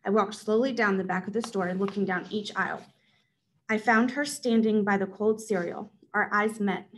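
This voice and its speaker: A woman's voice: medium pitch, rough recording. A woman reading a children's story aloud as its narrator. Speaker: read-aloud narrator at a school.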